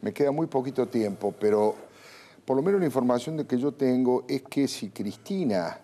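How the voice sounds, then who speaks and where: A man's voice: mid-range voice, animated, moderate pace, dark tone. A man with an Argentine Rioplatense accent hosting a political talk show. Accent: Argentine Rioplatense Spanish.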